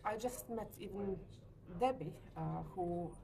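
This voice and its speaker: A woman in her forties from Lithuania, speaking English with a Lithuanian accent, answering interview questions hesitantly with many pauses. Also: mid-range voice, dark tone, poor audio. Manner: hesitant, frequent uh pauses, light laughs. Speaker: letting agency owner. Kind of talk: business interview. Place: hotel meeting room.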